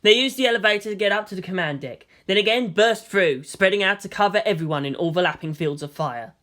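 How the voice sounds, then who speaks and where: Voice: mid-range voice, fast talker, a bit bright. A narrator reading a story aloud in a dramatic, scripted audiobook style.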